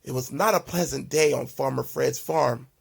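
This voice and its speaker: A man hosting a children's story time, narrating a storybook in a dramatic, expressive way. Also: medium pitch, normal speed, vocal fry, husky.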